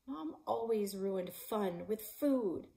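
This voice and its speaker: A woman in her forties, a librarian and author, reading her children's novel aloud in a living room, voicing the characters with shouts and whispers. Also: mid-range voice, animated, slow, vocal fry. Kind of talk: children's book read-aloud. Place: living room in front of a stone fireplace.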